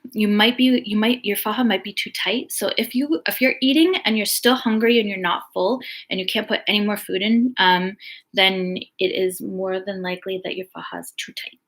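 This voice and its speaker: A woman with a soft, relaxing voice, hosting a casual live chat, reading viewer comments and answering questions. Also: medium pitch. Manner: chatty, reads comments aloud, frequent "um". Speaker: live stream host.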